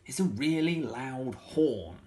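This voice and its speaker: A brisk, inventive storybook lady muses aloud in slow, drawn-out words, as a man reading the tale puts her on. Her voice lifts a little and swings about with each idea. She leans hard on every phrase. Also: mid-range voice, animated, darkish tone. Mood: musing. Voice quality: slow, emphatic, pondering delivery.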